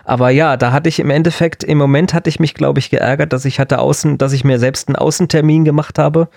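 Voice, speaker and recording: A man's voice: a little high, steady tone, fast, gravelly, darkish tone, no echo, studio quality. A man co-hosting a podcast in casual, conversational talk. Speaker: podcast co-host. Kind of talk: casual chat.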